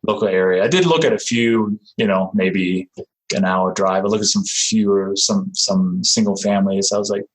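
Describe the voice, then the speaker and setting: A man with a Danish accent talks in a low voice, friendly and unhurried. He stops and restarts often, filling gaps with ums as he explains himself. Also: husky, rough recording. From Denmark. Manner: friendly, halting, many fillers and restarts. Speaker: guest, real estate investor. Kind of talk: casual interview talk.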